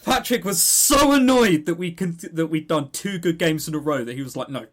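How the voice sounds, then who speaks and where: A man co-hosting a podcast in casual, joking banter, rambling excitably and often stammering over repeated words. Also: fairly high pitch, brisk, a little hiss or hum. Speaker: podcast co-host.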